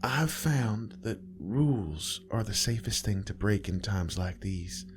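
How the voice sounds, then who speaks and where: A man voicing a kind, sad middle-aged woman nurse who talks softly and confidingly. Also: fairly low pitch, expressive, slow, noisy background. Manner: soft, confiding, conspiratorial.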